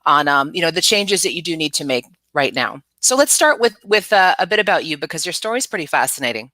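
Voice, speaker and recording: A woman's voice: low voice, animated, fast, sharp tone, dry recording. A middle-aged woman hosting a live online interview show, speaking in an upbeat, chatty way. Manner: upbeat, chatty, often laughing. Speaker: host.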